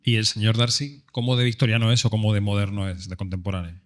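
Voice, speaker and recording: A man moderating a panel at a public event, asking brisk, prompting questions into a handheld microphone. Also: on the low side, flat delivery.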